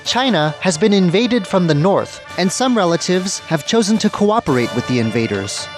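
A man's voice: high-pitched, sing-song, normal speed. A man hosting a radio history programme, reading scripted documentary narration. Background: background music.

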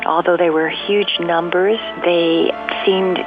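A woman being interviewed as a researcher, explaining history off the cuff in measured phrases and searching for her words. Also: fairly low pitch, steady tone, phone-quality sound, music in the background. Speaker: interviewed researcher and radio drama writer.

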